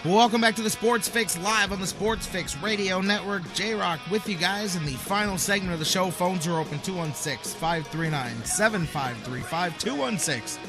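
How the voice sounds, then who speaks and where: A man's voice: high voice, normal speed, few pauses. An American man hosting sports talk radio and reading ads with an enthusiastic, salesman-like delivery. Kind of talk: sports talk and live ad reads. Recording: music bed behind the voice.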